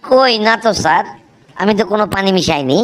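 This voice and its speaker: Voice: mid-range voice, expressive, fast talker, natural voice. Actor voicing a cheeky cartoon milkman, insistent and pleading, voice bounces up and down a lot. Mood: defensive. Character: cheeky milkman, stubborn and defensive. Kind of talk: cartoon comedy dubbing.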